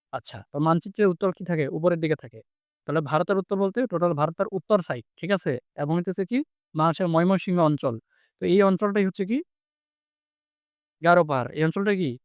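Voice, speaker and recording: A man's voice: fairly high pitch, no echo, clean studio sound. A man with a Bangladeshi Bengali accent teaching vocabulary conversationally, repeating phrases and asking check questions. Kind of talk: interactive vocabulary lesson, explaining and quizzing.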